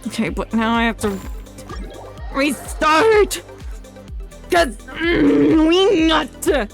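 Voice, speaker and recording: High-voiced woman streaming a game, exasperated and profane. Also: expressive, drawn-out words, gravelly. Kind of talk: live gameplay commentary, muttered and exclaimed. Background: game music.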